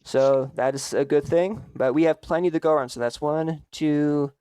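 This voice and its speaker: A man with a smooth, slightly high voice runs a science fiction tabletop game. He explains the scene and the rules in an easygoing way. The recording is dry and close. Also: fairly even intonation, moderate pace, mellow, no echo. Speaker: game master.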